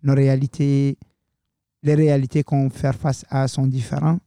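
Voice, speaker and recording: A man's voice: mid-range voice, flat delivery, normal speed, dark tone, dry recording, clean studio sound. A young man hosting a student radio show, talking loosely and casually and slipping in English words. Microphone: studio microphone.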